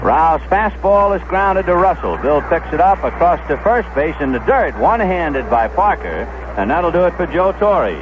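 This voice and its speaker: A man doing 1970s radio baseball play-by-play, measured and descriptive, telling small stories between pitches. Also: very animated, over music. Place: stadium broadcast booth. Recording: dull lo-fi vintage AM radio broadcast.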